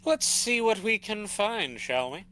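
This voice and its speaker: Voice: medium pitch, sing-song, unhurried, noisy background. A fan dubber voicing a composed, formal male prosecutor in a game dub, speaking stiffly and a little exasperated.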